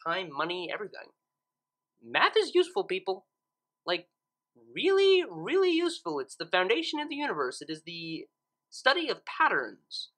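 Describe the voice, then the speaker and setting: A woman reading fan fiction, with expressive narration and casual commentary in a theatrical, emphatic manner. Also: mid-range voice, moderate pace.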